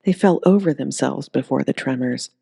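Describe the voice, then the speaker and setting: Story narrator reading an audiobook, gravelly creak, middle pitch, close dry sound. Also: normal speed, warm tone, no echo.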